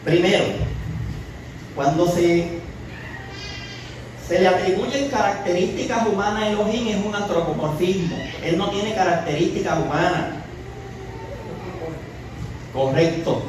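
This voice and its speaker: A man's voice: high voice, unhurried, long pauses. A Puerto Rican man with a Puerto Rican accent teaching a weekly Torah portion to a congregation, often asking questions and adding "¿verdad?". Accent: Puerto Rican Spanish. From Puerto Rico. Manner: asks the audience questions and repeats words, often adding a tag ¿verdad?. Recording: very echoey distant room recording with noise.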